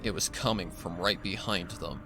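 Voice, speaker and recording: A man reading a scripted horror story as narrator in a deliberate storytelling manner. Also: sits low, music in the background.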